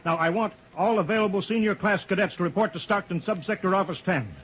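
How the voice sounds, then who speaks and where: Commanding radio-drama chief engineer, muffled vintage sound. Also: male voice, high-pitched, quick pace, fairly bright tone, a little hiss or hum. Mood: urgent, commanding. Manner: authoritative, clipped, urgent orders. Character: commanding, brisk chief engineer of futuristic rolling roads. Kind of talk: 1950s radio drama acting. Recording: muffled vintage radio broadcast with background crowd.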